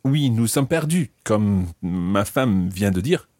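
A man hosting a scripted language lesson, explaining clearly and repeating phrases slowly and deliberately. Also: fairly low pitch, animated, darkish tone, no echo, studio quality. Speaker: language teacher and host.

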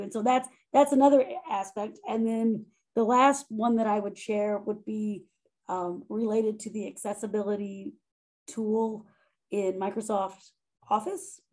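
Casting note: woman educator, loud but mellow voice, thoughtful interview talk with frequent restarts. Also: mid-range voice, poor audio. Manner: thoughtful, self-correcting, frequent "right?" and "um". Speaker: faculty member and monograph author. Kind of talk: conversational interview.

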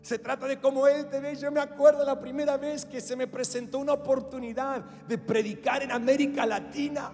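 A man's voice: extremely high pitch, level tone, a little fast, few pauses, darkish tone, big-room sound, soft background music. A man from Australia whose first language is English, preaching a sermon with an Australian English accent, emphatic and repetitive, with direct appeals to the listeners.

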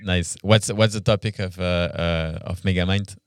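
A man with a deep, clear voice hosts a relaxed, casual interview. His delivery stays fairly flat as he reacts and asks questions in an easygoing way. The sound is dry. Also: normal speed, dry recording. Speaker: podcast interviewer.

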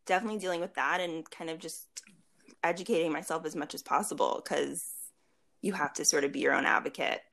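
Woman in her late 20s, low gravelly voice, chatty guest, lots of ums and little laughs. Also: steady tone, brisk, a bit bright. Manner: chatty, laughs often, many fillers and restarts. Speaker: interview guest. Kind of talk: casual video chat interview.

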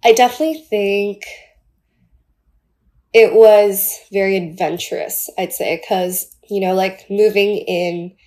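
A woman's voice: medium pitch, steady tone, a little slow, dark tone. Chatty young woman full of fillers. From Taiwan. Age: 20s. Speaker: guest. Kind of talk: casual podcast interview.

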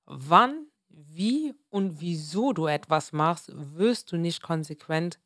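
A young woman in her twenties talks in a slightly hoarse, lowish voice, earnest and emphatic as she hosts her own podcast. The sound is dry and close, with no room around it. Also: lively intonation, slow pace, fairly bright tone, dry recording. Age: 20s. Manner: earnest, emphatic, mixes in English words. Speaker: podcast host. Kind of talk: motivational solo podcast.

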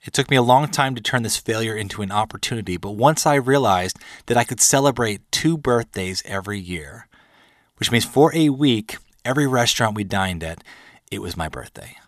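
Male podcast host, 30s. Lowish voice recorded dry, earnest and measured personal storytelling with deliberate pauses. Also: animated, no echo. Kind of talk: personal storytelling monologue, partly scripted.

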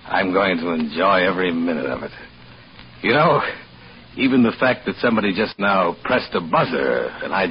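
An actor playing a bitter, resentful hotel assistant manager, narrating a vintage radio drama in a sardonic, measured way. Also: male voice, deep voice, a little hiss or hum. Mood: wry, ironic. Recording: dull old radio recording, loud music.

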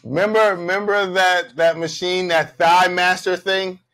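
A man hosts a comedy podcast, and his voice sits a little on the high side. He talks in a loose, joking, slangy way, riffing casually with his guest. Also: fairly even intonation, unhurried, pushed and bright. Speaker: podcast host.

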